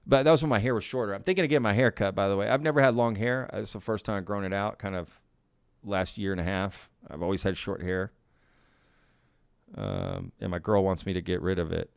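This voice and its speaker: A man's voice: fairly low pitch, expressive, brisk, gravelly, clean tone, dry recording, studio quality. A male live stream host in a casual Q&A, talking in a laid-back, folksy way, often saying y'all and reading out viewer comments. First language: bilingual Spanish and English.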